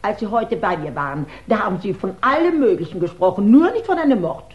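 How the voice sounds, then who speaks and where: A woman's voice: mid-range voice, big pitch swings, quick pace, natural voice. An actress as a haughty older lady, indignant and demanding. Her intonation swings widely in 1960s radio play style. The recording is dull. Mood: indignant, imperious. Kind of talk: 1960s German radio drama acting.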